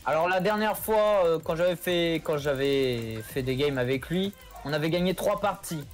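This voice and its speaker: A man's voice: on the high side, animated, moderate pace, soft background music. A young man, a guest player, teasing his friend in casual voice chat during a game. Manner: teasing, playful jokes. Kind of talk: casual banter while gaming.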